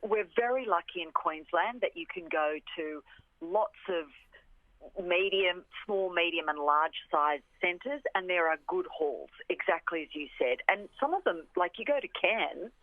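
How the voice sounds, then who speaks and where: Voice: medium pitch, expressive, measured pace, no room sound. An orchestra representative giving a radio interview, explaining with enthusiasm and repeating words for emphasis. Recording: phone-like line on radio.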